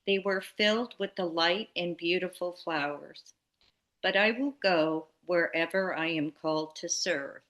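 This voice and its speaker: A woman reading a book passage aloud, slow and measured, on a video call. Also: lowish voice, a bit bright. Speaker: book study reader.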